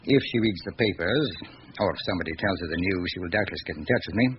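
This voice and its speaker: An actor with a British accent playing a courteous, discreet elderly notary in a radio drama, speaking in a measured, formal way. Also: male voice, low voice, natural voice. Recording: dull, noisy old radio recording.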